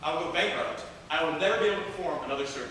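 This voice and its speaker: A man's voice: a little high, rapid-fire, bright, forceful tone, recorded from a distance. A man playing a quirky, vain surgeon in a theatrical way in an amateur comic stage play. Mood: self-pitying. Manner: theatrical, exclamatory. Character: quirky, vain budget surgeon, grandly bemused. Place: indoor room, live performance. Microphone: distant camera. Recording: echoey hall from afar, noisy.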